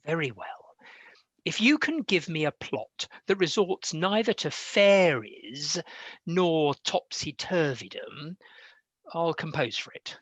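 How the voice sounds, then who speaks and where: A man's voice: on the high side, lively intonation, slow, a bit bright, slightly rough, heavily acted. A man acting a weary, swooping Victorian composer. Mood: exasperated. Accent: British. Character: weary, exasperated male Victorian composer, serious and self-pitying. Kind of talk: radio play acting.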